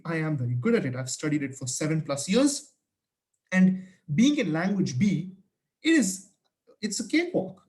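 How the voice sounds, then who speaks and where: A man's voice: high voice, darkish tone. A young man whose first language is Hindi, earnestly giving study advice in a casual vlog, often restarting his sentences. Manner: earnest, emphatic, frequent restarts.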